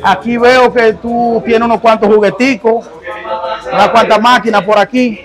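A man in his thirties talks into a lapel mic with a fairly high voice, asking barbers questions in a small shop. He is chatty and keeps answering with quick acknowledgements. Faint music plays behind him. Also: steady tone. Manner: chatty, repeats okay and perfect often. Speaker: interviewer. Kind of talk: on-the-spot interview.

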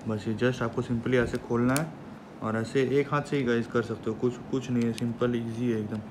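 Young guy doing a chatty how-to vlog, keeps saying guys, voice pretty flat, noise behind him. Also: mid-range voice, moderate pace. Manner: chatty, repeats words, says "guys" often. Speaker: motovlogger. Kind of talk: casual tutorial vlog. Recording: steady background noise.

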